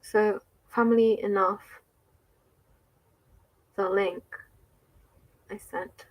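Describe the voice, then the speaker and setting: A woman's voice: mid-range voice, fairly even intonation, very slow, poor audio. A woman with an English accent chatting casually on a livestream, answering viewer comments with frequent laughs, likes and restarts. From England.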